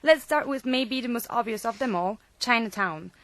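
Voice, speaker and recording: A woman's voice: on the high side, normal speed, fairly bright tone, no echo. A woman with French as her first language, presenting a student radio programme from a reading script.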